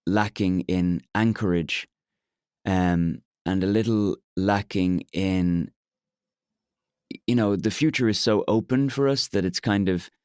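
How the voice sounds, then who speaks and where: Slightly hoarse young Irish man. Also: on the low side, a little mellow, no echo, clean studio sound. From Ireland. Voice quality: hesitant, many fillers and restarts. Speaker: podcast co-host, client. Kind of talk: candid therapy conversation.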